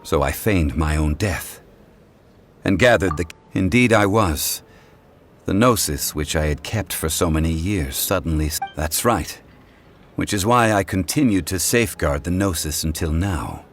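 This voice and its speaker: Solemn, low-voiced ancient god. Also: male voice, expressive. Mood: reflective. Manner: formal, measured, archaic wording. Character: solemn, dignified ancient god in man's form. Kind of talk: video game character dialogue. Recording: faint music behind the voice.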